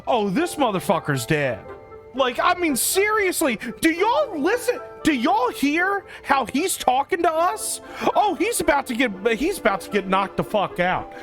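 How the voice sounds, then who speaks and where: A woman's voice: sits high, very animated, unhurried, fairly bright tone. A woman streamer giving brash, sweary, theatrical reactions to a game as she plays. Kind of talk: reactive gameplay commentary. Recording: game music behind.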